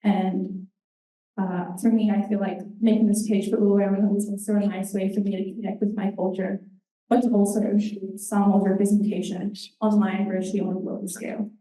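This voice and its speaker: Young New woman, earnest halting presenter, dark mellow tone, heard from afar in a roomy hall. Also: medium pitch, flat delivery. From New Zealand. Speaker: intern presenter. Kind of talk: conference presentation. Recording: roomy hall, distant mic.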